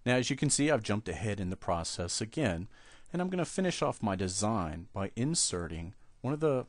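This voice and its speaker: A man explaining software steps one at a time in a screen-recorded tutorial, speaking at his computer. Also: on the low side, no room sound. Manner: steady step-by-step explaining. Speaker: software trainer.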